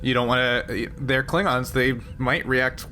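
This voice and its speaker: A man acting as game master, explaining the setting to players in a halting, conversational way. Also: medium pitch, steady tone, bright, forceful tone, soft background music. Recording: clear background noise.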